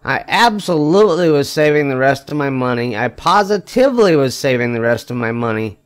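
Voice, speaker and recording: An older man reading a children's picture book aloud expressively, with emphatic phrasing and drawn-out wry punchlines. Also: mid-range voice, animated, clear, resonant tone. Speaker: storybook reader.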